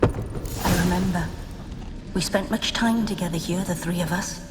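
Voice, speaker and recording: Solemn spirit woman's voice in deep reverb. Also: heavy background noise, close to an everyday voice. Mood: wistful, matter-of-fact. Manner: measured, solemn. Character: composed, mysterious female spirit, a talking animal guardian. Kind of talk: solemn video game character narration. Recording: long reverb, music behind.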